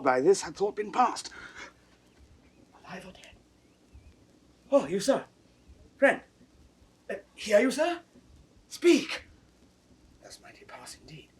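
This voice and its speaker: Disguised young nobleman, highly animated, theatrical, urgent yet tender, Shakespearean audio drama, distant vintage recording. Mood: anxious. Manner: theatrical, shifting between hushed and exclaiming. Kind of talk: classical Shakespearean stage acting. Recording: distant, noisy 1960s studio drama recording.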